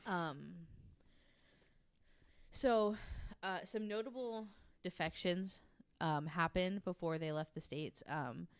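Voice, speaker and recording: A woman hosting a podcast, casually narrating a researched story from notes in an informal, halting way. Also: lowish voice, smooth, dry recording.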